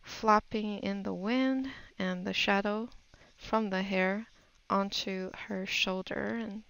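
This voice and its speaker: A woman narrating a casual art tutorial, unhurried and musing aloud as she works. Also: mid-range voice, steady tone, vocal fry, fairly bright tone, clear, resonant tone, no room sound, low-quality recording. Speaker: digital painting tutor.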